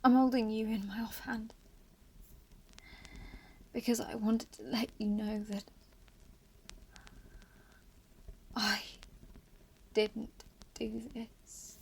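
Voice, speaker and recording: Through a noisy recording comes an actress as a female lone survivor in a horror radio play, weak and haunted. Her voice is breathy and gravelly, dropping into whispers. She speaks extremely slowly, in halting fragments heavy with bitter resignation. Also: fairly high pitch. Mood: frightened, strained. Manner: halting, fragmented phrases with long pauses.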